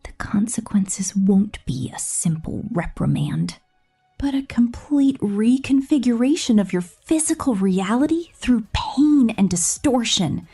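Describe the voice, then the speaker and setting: A woman voicing a possessive, cold female killer drone robot in a breathy, slow, menacing whisper for an ASMR roleplay. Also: on the high side, lively intonation, dark tone, soft background music.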